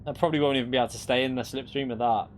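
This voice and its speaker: A man's voice: medium pitch, steady tone, racing, slight background noise. A young man with a Northwest English accent, chatting casually with his viewers during a sim racing stream and laughing easily. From England. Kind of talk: casual live-stream chat.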